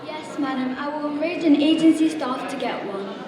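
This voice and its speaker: A woman's voice: high-pitched, moderate pace, a crowd in the background, lightly acted. A woman in an amateur stage play acting a formal, businesslike junior police constable taking down a report. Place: large echoey indoor hall, far from the mic. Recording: distant, very echoey hall.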